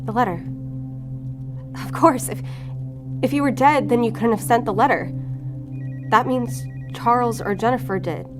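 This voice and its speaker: A woman's voice: fairly high pitch, moderate pace, long pauses, lightly acted. Woman playing an earnest female investigator over music. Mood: determined. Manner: earnest, resolute. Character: determined, guilt-ridden female federal investigator. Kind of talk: audio fiction acting.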